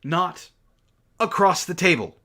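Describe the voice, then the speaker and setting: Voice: mid-range voice, lively intonation, takes it slowly, fairly bright tone. A sarcastic, exasperated book club host who swears freely, reading aloud mockingly and breaking off for casual commentary.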